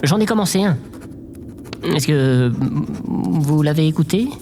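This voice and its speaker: A man's voice: a little high, lively intonation, unhurried, noisy background. An actor playing a meek, awkward, eager male aide in a French audio drama, hesitant and deferential.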